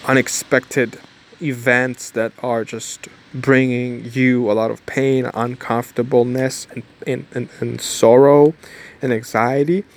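A man's voice: mid-range voice, little pitch movement, a little slow. A nineteen-year-old man vlogging casually and rambling through a repetitive motivational pep-talk outdoors. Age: young adult. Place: outdoors in the cold.

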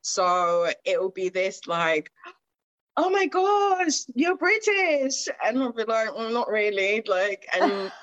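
A woman's voice: medium pitch, big pitch swings, takes it slowly, fairly bright tone, dry recording. A young woman from Zimbabwe talks through her poetry in a loose, meandering way, with fillers everywhere. The sound is dry and close, with a slightly dull, lo-fi edge. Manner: rambling, with frequent fillers and laughs. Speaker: guest poet. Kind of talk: casual conversational interview.

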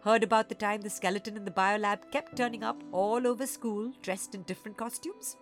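A woman's voice: mid-range voice, steady tone, fairly quick, soft background music, close to an everyday voice. A woman voicing a mischievous, gleeful old woman in a storybook, gasping and conspiratorial in manner. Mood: mischievous, fond. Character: mischievous, sputtering old woman, gleeful former schoolgirl prankster.

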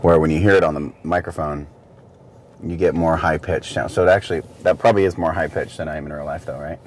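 A man's voice: very deep voice, fairly even intonation, quick pace, a little hiss or hum. A man co-hosting a faith podcast as a father, explaining and thinking aloud in a casual chat.

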